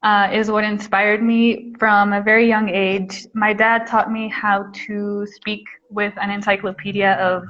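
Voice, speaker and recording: A woman's voice: medium pitch, little pitch movement, unhurried, few pauses, fairly bright tone. A young woman from Mexico, a guest artist, answering interview questions in an easygoing way with many ums. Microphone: video call. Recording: dull muffled call audio.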